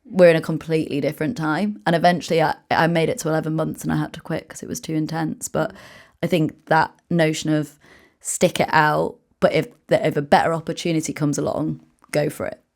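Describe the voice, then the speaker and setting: Low-voiced woman telling quick stories. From England. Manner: brisk, lively storytelling with frequent "like" fillers. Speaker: guest, founder and author. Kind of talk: casual interview chat.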